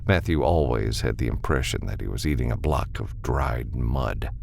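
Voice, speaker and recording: A man narrating fiction as an audiobook reader, with a gravelly voice and a dramatic delivery. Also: rumbling low, normal speed, a little hiss or hum.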